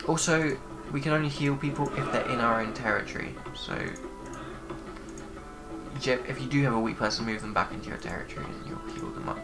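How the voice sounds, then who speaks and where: Male gamer with a head cold, casual and hesitant let's-play talk over clear game music. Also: mid-range voice, fairly quick. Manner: rambling, hesitant with many fillers. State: congested with a cold. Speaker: gaming channel host.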